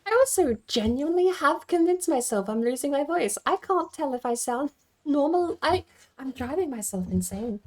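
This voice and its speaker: A woman's voice: high-pitched, animated, normal speed, darkish tone. A woman streaming a game from home, rambling and thinking aloud as she plays. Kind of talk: casual gameplay commentary.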